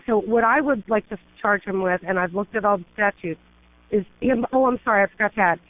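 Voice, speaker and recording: A woman's voice: medium pitch, fairly quick, no room sound. A middle-aged woman attorney calling an emergency line, urgent and pleading, piling up emphatic lists of complaints. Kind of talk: emergency phone call. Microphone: telephone. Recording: tinny phone line.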